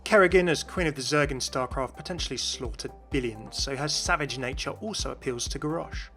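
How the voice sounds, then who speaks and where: A man's voice: medium pitch, a little fast, over music. A man giving scripted explainer narration as the host of a video game lore video.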